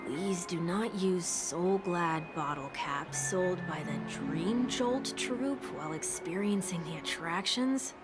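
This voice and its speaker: A small, gruff female companion in a video game. She talks slowly and deadpan, with blunt exasperation, over a music bed. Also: on the low side. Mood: grumbling. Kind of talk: voiced game dialogue.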